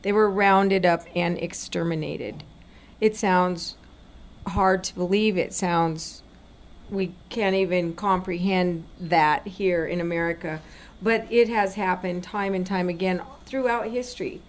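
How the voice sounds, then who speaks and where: A woman's voice: fairly low pitch, fairly even intonation, measured pace. A woman co-hosting a political talk show, speaking conversationally and earnestly, repeating points for emphasis. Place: inside a gun store.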